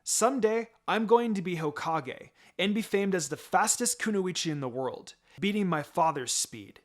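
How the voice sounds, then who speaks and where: A precocious little ninja girl, acted out by a man in an anime story reading. The voice is fairly high and swoops about expressively, earnest, confident and a bit cheeky. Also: lively intonation, moderate pace. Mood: excited.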